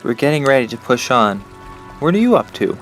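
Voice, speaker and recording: Man as earnest young survivor leader, very expressive pitch. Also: brisk, lightly acted. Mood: gentle, teasing. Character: sincere, commanding teenage boy, leader of survivors. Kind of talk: audio drama acting. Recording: music under the voice. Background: music.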